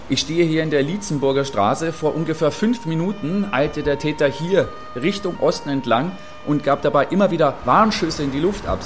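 A man playing an earnest field reporter in a radio play, giving wordy, factual and deliberate live reports. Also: a little high, a little hiss or hum, natural voice. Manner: factual, deliberate, long-winded.

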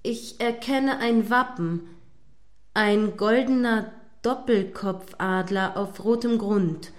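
A woman's voice: medium pitch, takes it slowly, lightly acted. A woman playing a prim, indignant news commentator in a radio play, judgmentally describing events step by step as they happen. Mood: tense, observing.

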